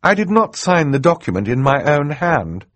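Man voicing a determined, high-pitched clever girl. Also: big pitch swings, normal speed, a bit bright, dry recording. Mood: confident. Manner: precise, assertive. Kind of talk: audiobook character acting.

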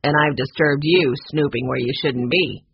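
A narrator voicing a gruff, annoyed man with a growly voice, sternly scolding children in a storybook. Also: medium pitch, moderate pace, no room sound, lo-fi sound, pitched below the performer's own voice.

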